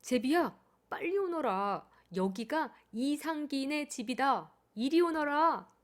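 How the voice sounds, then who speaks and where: A woman's voice: high voice, lively intonation, slow pace, pitched above the performer's own voice. A woman voicing an earnest little boy in a children's story, calling out eagerly. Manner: eager, pleading calls. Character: earnest little village boy longing for swallows.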